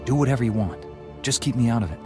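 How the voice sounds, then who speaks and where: An actor playing a composed, earnest Jedi knight hero in a video game, speaking seriously and in a measured way. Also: male voice, medium pitch, over music, natural voice. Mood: resolute. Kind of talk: video game cutscene acting.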